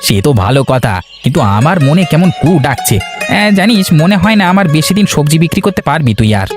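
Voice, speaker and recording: A man's voice: fairly high pitch, expressive, quick pace, natural voice. A man voicing an anxious, gossipy village farmer in a Bengali cartoon, with a fretful, folksy way of talking. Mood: uneasy. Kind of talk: Bengali animated moral-tale voice acting. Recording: music bed behind the voice.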